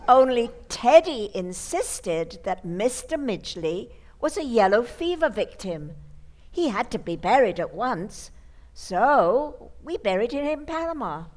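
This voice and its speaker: A woman acts a sweet old spinster aunt in a radio comedy. Her voice sits fairly high and moves with lively swoops. She is genteel and blithely matter-of-fact, gently chiding like a proper lady. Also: a little slow. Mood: sweetly matter-of-fact.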